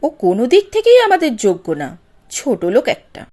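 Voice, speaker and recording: An arrogant young college girl in a cartoon. Her high voice swoops dramatically from note to note. She is scornful and spiteful, with light music underneath. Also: wildly swooping pitch, quick pace, warm tone. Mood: indignant. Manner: sneering, scornful. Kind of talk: Bengali animated story dialogue.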